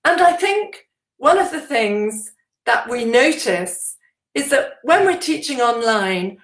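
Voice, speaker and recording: Woman doing a teacher training talk, takes it really slow, highish voice, kinda echoey room. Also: big pitch swings. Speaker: teacher trainer presenting a webinar. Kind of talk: instructional presentation to teachers.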